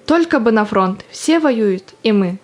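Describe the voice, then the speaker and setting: A woman's voice: medium pitch, lively intonation, unhurried, lightly acted. An actress playing a young woman volunteer recalling the war, telling it earnestly as a theatrical monologue. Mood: earnest remembering. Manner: earnest storytelling. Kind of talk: theatrical monologue in audio drama. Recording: music under the voice.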